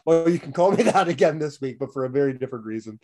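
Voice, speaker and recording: A man's voice: a little high, animated, fast talker, darkish tone. Sick, rambling male podcast co-host. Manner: rambling, with many fillers and restarts. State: sick, losing his voice. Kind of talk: casual wrestling podcast chat.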